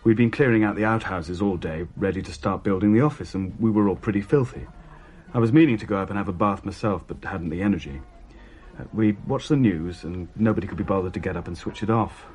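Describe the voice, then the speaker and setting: A man's voice: low-pitched, fast talker, a little mellow, lightly acted. An actor playing a wry family man recounting a haunting in a radio drama, with dry, vivid storytelling. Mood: matter-of-fact. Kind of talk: radio drama narration in dialogue with another narrator.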